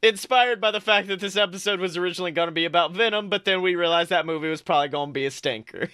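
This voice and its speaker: A man's voice: high-pitched, very fast, pushed and bright, smooth, no room sound, clean studio sound. A man hosting a podcast, chatting casually with a jokey, theatrical and sometimes profane manner. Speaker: podcast host. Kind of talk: casual comedic podcast chat.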